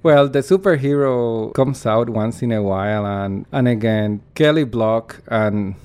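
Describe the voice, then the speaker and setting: A man's voice: mid-range voice, a little slow, darkish tone, smooth. A man, a performance artist, giving earnest and reflective interview answers while searching for his words.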